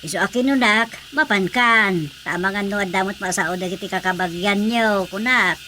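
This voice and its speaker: A woman's voice: medium pitch, moderate pace, bright, forceful tone, natural voice. A woman playing a practical, fussing wife and mother with an Ilocano accent in a radio drama comedy. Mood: explaining. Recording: faintly noisy radio drama track.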